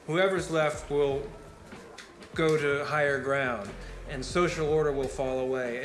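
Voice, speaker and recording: An actor playing a rambling, fatalistic party guest who holds forth philosophically in a naturalistic film monologue, building his argument step by step in a conversational lecture. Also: fairly high pitch. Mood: somber, musing. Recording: roomy film dialogue with music behind. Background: music.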